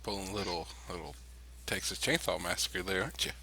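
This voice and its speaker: A man's voice: deep voice, quick pace, pushed and bright. A man making casual remarks during a tabletop game, recorded with noise behind him. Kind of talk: casual chat. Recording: clear background noise.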